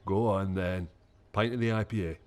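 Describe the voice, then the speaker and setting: An actor playing a cheeky, easygoing pub regular with a Scottish accent in a radio soap. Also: male voice, low voice, steady tone, moderate pace, darkish tone, lightly acted. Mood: bantering. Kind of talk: radio soap opera acting.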